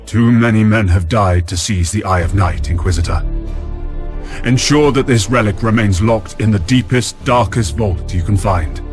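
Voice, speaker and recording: A dutiful, determined battle fleet admiral, played by a man in a game cutscene. The voice is fairly low, with a formal, earnest military bearing. Soft music sits in the background. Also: normal speed, close to an everyday voice. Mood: stern, urgent. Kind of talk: dramatic sci-fi game cutscene acting.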